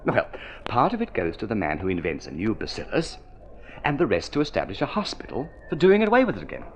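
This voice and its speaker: An actor playing a spoiled, idle young gentleman in an old radio drama, breezy and exclamatory, full of upper-class slang. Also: on the low side, wildly swooping pitch, fast, slight background noise. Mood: flippant, chatty. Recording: dull vintage radio recording.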